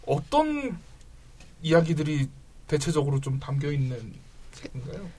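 A man's voice: a little high, sing-song, moderate pace, warm tone, husky. A man of about thirty hosting an interview, asking questions in a friendly, joking way. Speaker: host.

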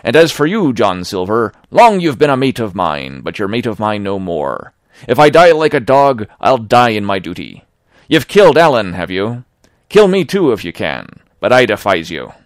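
A man voicing an honest male sailor who speaks hoarse, shaky and raised. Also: medium pitch, sing-song, moderate pace, dry recording, pitched above the performer's own voice. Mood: defiant. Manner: raised, pleading then defiant.

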